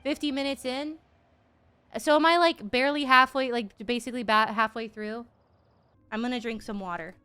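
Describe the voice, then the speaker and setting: A woman's voice: a little high, fairly even intonation, normal speed, sharp tone, clean tone. A woman in her thirties giving casual, playful gaming stream commentary, full of slang and swearing. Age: 30s.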